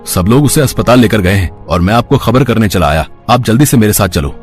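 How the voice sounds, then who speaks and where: A man's voice: lowish voice, animated, brisk, faint music behind, lightly acted. A man voicing plain, earnest village characters in a dramatized moral story. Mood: urgent. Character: village men: mild husband, messenger, doctor.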